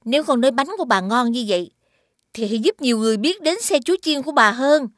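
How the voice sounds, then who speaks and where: A woman's voice: sits high, lively intonation, fast talker, no room sound, clean studio sound. A woman voicing a caring, sensible mother in a children's cartoon, advising in a southern Vietnamese accent. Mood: gently advising. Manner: questioning, advising.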